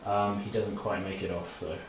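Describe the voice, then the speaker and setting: A man's voice: sits low, little pitch movement, normal speed, a crowd in the background. A man acting as game master, narrating a tabletop game in an informal, conversational way. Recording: roomy echo.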